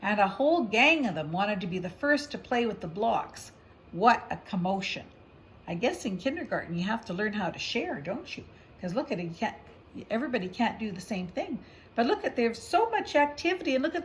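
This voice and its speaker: Lady reading a kids book out loud, high animated voice, chatty little asides, bit of noise. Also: a little fast, rough recording. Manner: conversational, explaining to a young child, gentle questions. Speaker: storybook reader.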